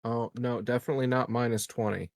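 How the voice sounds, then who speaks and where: A man with a medium-pitched voice talks casually as a player in an online tabletop game. He is recorded very dry, with no room around him. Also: fairly even intonation, fast talker, gravelly, no echo.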